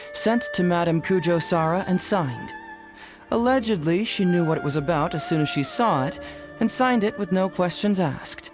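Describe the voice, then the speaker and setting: A confident young detective from a video game. He talks in a smooth, teasing, glib way, laying out his reasoning with wry assurance, while music plays clearly behind him. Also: high-pitched. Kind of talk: English video game voice acting. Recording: studio voice under game music. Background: game background music.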